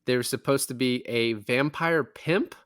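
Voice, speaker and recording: A man's voice: medium pitch, unhurried, fairly bright tone, clear, resonant tone, no room sound. A man with a mid-range voice, recorded dry with no room sound. He co-hosts a casual movie chat in a blunt, joking way and swears freely. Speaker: podcast co-host. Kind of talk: casual film trivia chat.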